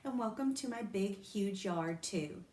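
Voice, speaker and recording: A woman's voice: medium pitch, lively intonation, unhurried, darkish tone, reverberant, close to an everyday voice. A woman reading aloud, voicing a spoiled, showy rich little girl who brags in a sing-song way. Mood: showing off.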